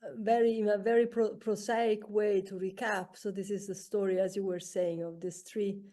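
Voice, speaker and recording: A woman moderating a poetry round table, recapping poems and handing turns to speakers with many pauses. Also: mid-range voice, little pitch movement, mellow.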